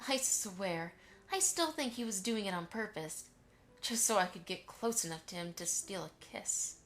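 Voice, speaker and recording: A woman voicing a gentle, affectionate mother pony who reminisces fondly in an amateur audio drama. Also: mid-range voice, expressive, normal speed, slight background noise, close to an everyday voice. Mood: fond, nostalgic. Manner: affectionate, storytelling to a child.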